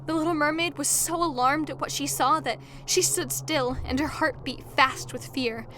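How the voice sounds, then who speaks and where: A woman's voice: high-pitched, normal speed, a bit bright, a little hiss or hum. A woman narrating a fairy tale as a scripted storyteller in an audio drama. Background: music.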